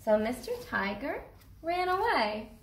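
A woman's voice: high-pitched, sing-song, measured pace, roomy sound. A woman in her thirties reading a picture book aloud to young children, expressively, with simple questions for the listeners. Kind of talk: children's read-aloud storytelling. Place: small cardboard fort indoors.

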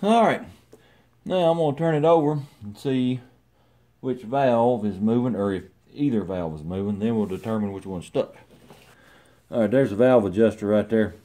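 A man's voice: lowish voice, moderate pace, mellow. A middle-aged man in a large garage workshop, talking in an easygoing, wry way as he works on an old motorcycle and chats to the camera. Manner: easygoing, wry, talks to himself while working. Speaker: garage channel host. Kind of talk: casual repair commentary.

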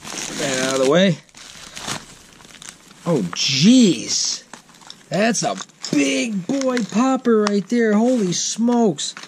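A man's voice: sits high, lively intonation, extremely slow, long pauses. A man in his thirties chatting casually while unboxing fishing gear in his garage, rambling and correcting himself as he goes, recorded on a wearable camera. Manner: rambling, self-correcting, easygoing. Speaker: vlogger. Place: home garage, seated on a boat. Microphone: wearable action camera. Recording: packaging crackle over voice. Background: crinkling packaging.

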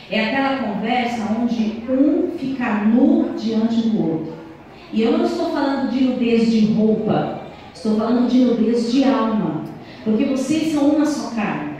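An earnest woman in her thirties preaches to married couples into a handheld mic. Her voice sits high and carries a strong room echo. It is picked up from across a small church hall. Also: mellow, slight background noise. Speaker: couples' speaker. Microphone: handheld mic, far camera. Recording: echoey hall from far off.

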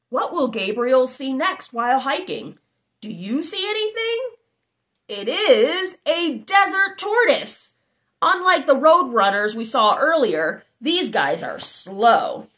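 Lively high-voiced woman reading a kids' book. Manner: animated, exclamatory, child-directed with asides. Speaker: storybook narrator. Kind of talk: reading a children's picture book aloud. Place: living room, indoors.

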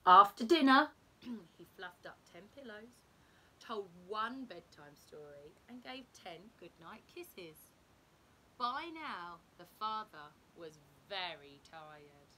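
A middle-aged woman reading a children's story aloud like a teacher, with deliberate, emphatic storytelling for young children. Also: mid-range voice, sing-song, pushed and bright, slight background noise, rough recording. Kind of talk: expressive picture-book read-aloud.

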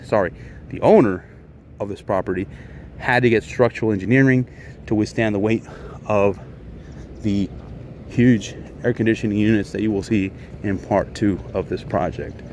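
A man giving a casual, explanatory on-site walkthrough as a tradesman, in an informal, plain-spoken way. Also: fairly low pitch. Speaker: HVAC contractor presenting a job. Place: outdoors on a commercial rooftop. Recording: outdoor handheld recording with ambient noise.

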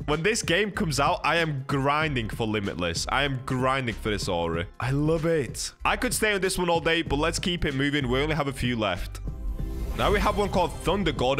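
A man with a slightly high voice and very lively intonation presents a game showcase. He talks informally and enthusiastically, exclaiming as he comments. Faint music plays behind his voice. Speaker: host of a gaming video channel. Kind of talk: excited gameplay showcase commentary.

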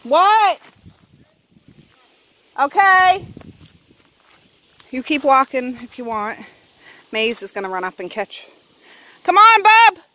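Chatty mom filming outdoors, lively pitch. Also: female voice, slow, pauses often, low-quality recording. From Nova Scotia, Canada. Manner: chatty, calls out to her kids. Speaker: vlogger and mother. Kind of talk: casual family vlog while walking. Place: outdoors on a windy beach and forest trail. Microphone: handheld camera at arm's length.

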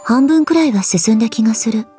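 A woman voicing a cool, aloof, quietly caring gorgon swordswoman in a game, languid, deadpan and curt, with a soft, husky voice. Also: fairly high pitch, quick pace, soft background music, lightly acted. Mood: dry, resigned. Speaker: voice actress. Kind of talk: scripted Japanese mobile game character lines.

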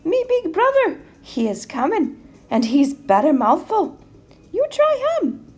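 A woman's voice: very high voice, very animated, measured pace, voice pushed up, heavily acted. A woman voicing a timid little young male billy goat in a storybook, with a small, pleading voice. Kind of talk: storybook character voice.

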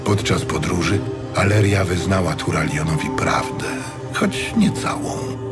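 A man reading scripted dramatic fantasy narration in a measured, solemn storytelling manner. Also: low voice, loud music behind the voice. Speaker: audiobook narrator.

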